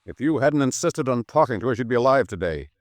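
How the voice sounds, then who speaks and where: A man's voice: mid-range voice, lively intonation, quick pace, no room sound, clean studio sound, close to an everyday voice. An actor playing a crusty, ill-tempered rich old man, curt and accusing, in 1950s radio drama style. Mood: bitter, accusing. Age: older.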